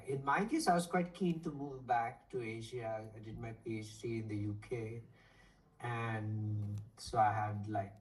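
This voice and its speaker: A man in his forties, an economics professor, answering interview questions thoughtfully over a video call with frequent pauses and fillers. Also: fairly low pitch. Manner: thoughtful, hesitant with many fillers. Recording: distant from the mic, faint noise.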